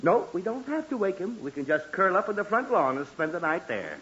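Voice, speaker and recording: A man's voice: high voice, very animated, brisk, fairly bright tone. A man with an American accent playing an exasperated, sarcastic middle-aged suburban father in an old-time radio comedy. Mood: exasperated, grumbling. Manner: grumbling, sputtering, sarcastic. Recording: muffled, hissy old radio recording.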